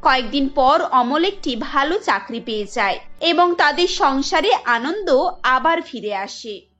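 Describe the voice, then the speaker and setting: Female cartoon storyteller, very high voice, scripted narration, faint music bed. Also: animated, unhurried. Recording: dull lo-fi sound.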